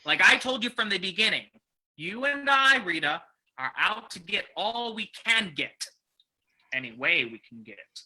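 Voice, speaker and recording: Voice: medium pitch, very animated, moderate pace, bright, forceful tone, breathy edge, full character voice, rough recording. An actor playing a ruthless, greedy male gold-rush crime boss in an old-time radio drama, snapping and domineering. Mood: angry, domineering. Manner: hard-boiled, snapping, domineering.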